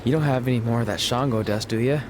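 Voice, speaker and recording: A man's voice: mid-range voice, fast talker, background noise, close to an everyday voice. A man playing an exasperated, skeptical young reluctant hero in a video game, with a sarcastic manner. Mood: wary. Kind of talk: video game cutscene acting.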